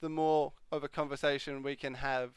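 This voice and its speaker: Smooth, dry-sounding male streamer. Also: mid-range voice, level tone, normal speed, no room sound. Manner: chatty, reads and answers chat questions. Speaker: livestream host. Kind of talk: casual live chat with viewers. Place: home streaming room.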